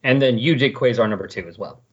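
A middle-aged man with a slightly husky voice hosting a casual comic book discussion in a chatty, explanatory way. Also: mid-range voice, quick pace. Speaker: podcast host. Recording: dull lo-fi voice recording.

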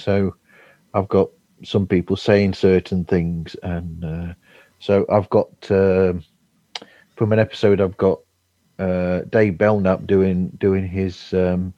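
A man chatting casually as a podcast host, halting and rambling, often chuckling. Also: low-pitched, mellow. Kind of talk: casual remote chat. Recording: dull, roomy remote call audio.

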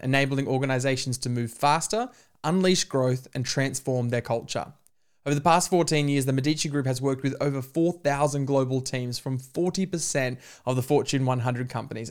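A man's voice: medium pitch, smooth. A man hosting a podcast, giving effusive, admiring introductions and interviewing a guest by phone. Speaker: podcast host.

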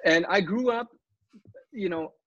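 A man's voice: high-pitched, unhurried, fairly bright tone, no echo, muffled recording. A man in his thirties from East Germany with a German accent, chatting informally as an interview guest and often saying "you know.". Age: 30s. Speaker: podcast guest, breakdancer. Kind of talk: casual interview storytelling.

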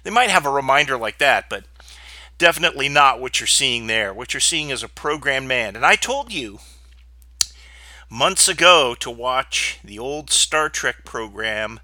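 A man's voice: mid-range voice, lively intonation, a little slow, bright, forceful tone, no echo. A man hosting his own podcast, stuffed up from sinus trouble, talking bluntly and rambling with plenty of fillers. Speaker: podcast host. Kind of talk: casual solo podcast talk.

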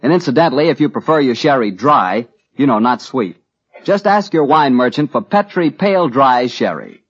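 A man's voice: mid-range voice, sing-song, normal speed, breathy edge. A man working as a 1940s radio announcer, giving folksy, conversational sales patter and host chat. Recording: muffled old radio recording.

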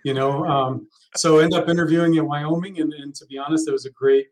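Relaxed middle-aged coach telling his story. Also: a little high, brisk, poor audio. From Texas. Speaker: college soccer coach. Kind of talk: interview guest.

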